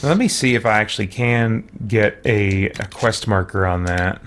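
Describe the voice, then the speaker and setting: A man's voice: lowish voice, moderate pace, fairly bright tone, faint music behind. A man doing casual let's play commentary as a streamer, muttering his thoughts aloud to himself.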